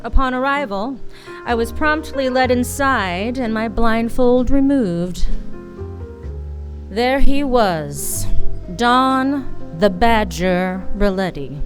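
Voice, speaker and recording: A woman playing a tough, wry private detective in a noir radio play, with a halting delivery. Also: a little high, expressive. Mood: grave. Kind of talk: noir radio play acting, first-person narration. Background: music behind the voice.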